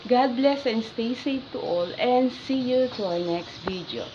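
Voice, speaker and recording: A woman's voice: on the high side, expressive, unhurried, dull audio. A woman in her thirties, a fishing vlogger, chatting casually to a phone camera held at arm's length, partly on a small boat at night and partly at home. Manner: chatty, mixing Filipino with English sign-offs. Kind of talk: casual vlog narration. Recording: loud engine and water noise on the boat.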